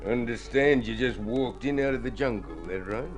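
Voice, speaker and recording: An actor playing a shady, wily fixer in a radio drama, with an insinuating, hard-boiled manner. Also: male voice, medium pitch, normal speed, faint music behind, lightly acted. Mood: smooth probing. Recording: old radio drama with musical backing.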